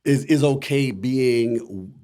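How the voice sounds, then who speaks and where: A middle-aged man with a deep voice and a Midwestern American accent, chatting reflectively as a voice actor guest in an interview. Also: slow, warm tone. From Akron, Ohio, USA. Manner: rambling, many "you know" fillers, reflective. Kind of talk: casual interview talk.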